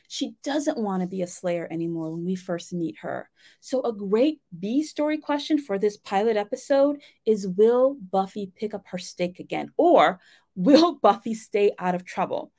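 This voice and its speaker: A woman speaks at a medium pitch in a casual teaching style. She addresses listeners directly, like a coach who hosts her own lesson. Her manner is conversational and encouraging. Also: darkish tone. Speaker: writing coach and host. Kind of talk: casual instructional talk to listeners.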